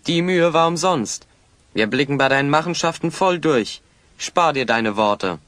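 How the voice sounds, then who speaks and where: A man's voice: fairly high pitch, animated, unhurried, a bit bright, no room sound. A man playing a shrewd, confident hero sleuth in an audio drama, speaking in an assured, probing way. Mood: confronting, confident. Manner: assured, probing, clearly enunciated. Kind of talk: German children's audio drama acting.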